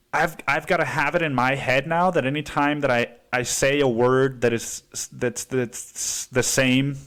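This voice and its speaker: A young man from Cancun hosting a solo podcast, ranting in a profane, casual way and mimicking other people in a higher voice. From Cancun, Mexico. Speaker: podcast host.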